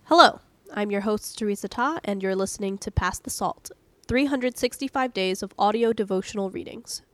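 A woman hosting a podcast, reading an audio devotional in a calm, measured, earnest way. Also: medium pitch, soft background music. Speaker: devotional podcast host and reader.

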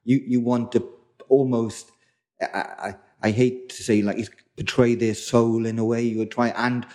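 A man's voice: medium pitch, little pitch movement, unhurried, mellow. A middle-aged man from South Wales co-hosting a casual podcast chat, rambling and restarting as he hunts for words. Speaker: podcast co-host.

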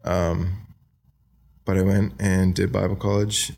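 A young man from Australia with an American accent, talking softly and hesitantly as a podcast guest, with many fillers. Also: sits low, flat delivery, takes it slowly, gravelly. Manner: soft-spoken, hesitant, many ums and likes. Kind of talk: casual interview talk.

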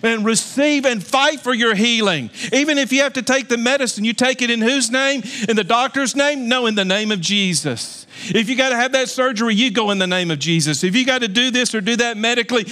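High, bright-voiced preaching man in his fifties. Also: fairly quick. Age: 50s. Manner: emphatic, repetitive preaching with jokey asides. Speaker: pastor. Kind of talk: sermon.

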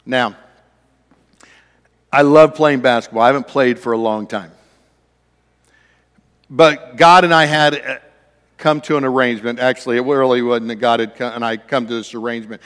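A man preaching a sermon, conversational and self-deprecating, with a naturally loud voice. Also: mid-range voice, steady tone, normal speed, pauses often, in a large hall. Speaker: pastor.

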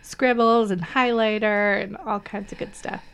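A woman with a middle-pitched voice, jet-lagged, co-hosting a casual podcast. She backs up her partner with easy, frequent short agreements. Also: fairly even intonation, unhurried, creaky, clean tone. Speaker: podcast co-host. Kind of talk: casual conversation.

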